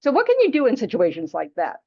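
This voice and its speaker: A woman's voice: on the high side, huge pitch swings, fast talker. A woman teaching Buddhist practice to a small class in a chatty, frank way, often teasing her students and saying "you know". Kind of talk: casual dharma teaching to a class. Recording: dull lo-fi class recording.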